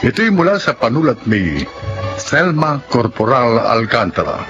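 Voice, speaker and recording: A man announcing radio drama credits in a scripted, dramatic, measured style. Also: medium pitch, big pitch swings. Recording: dull lo-fi sound, loud music. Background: loud music.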